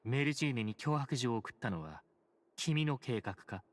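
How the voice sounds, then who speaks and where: An actor playing a stern, composed chief judge in a Japanese game dub, speaking with formal authority. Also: male voice, medium pitch, animated, fast talker, dry recording, natural voice. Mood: stern, calm. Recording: game audio with faint background noise.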